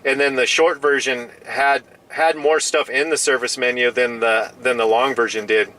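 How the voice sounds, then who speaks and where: A man's voice: medium pitch, fairly even intonation, normal speed, heavy background noise. A man chatting casually as a radio hobbyist, often restarting and repeating his phrases.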